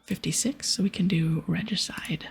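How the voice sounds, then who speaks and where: Female ASMR gaming host, very breathy whisper with some creak, gentle and chatty, close on the mic. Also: on the low side, normal speed, faint music behind. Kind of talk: whispered ASMR gameplay commentary.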